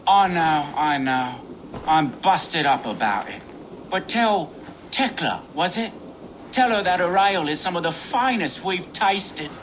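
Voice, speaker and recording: An actor playing a sly older bandit gang boss, theatrical and taunting with false geniality, in a video game cutscene. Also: male voice, fairly high pitch, lively intonation, measured pace, fairly bright tone, slight background noise. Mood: mocking.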